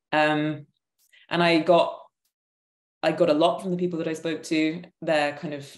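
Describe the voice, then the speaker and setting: Low-voiced, thoughtful woman in a roomy space. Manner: hesitant, thoughtful, self-correcting. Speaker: interview guest, theatre maker. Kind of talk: reflective conversational interview answers. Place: living room at home, indoors, on a video call. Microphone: computer microphone on a video call. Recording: roomy, echoing video-call audio.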